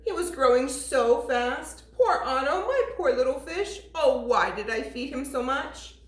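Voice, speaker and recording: Expressive high-voiced woman reading aloud. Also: a little slow. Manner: expressive, sing-song. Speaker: teacher. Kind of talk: storybook read-aloud for toddlers. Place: classroom. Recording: echoey room.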